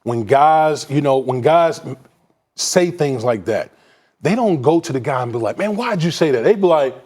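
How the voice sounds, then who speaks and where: A man who is a former player and now an analyst, talking in an excitable, emphatic way in a sports debate. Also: mid-range voice, moderate pace, husky.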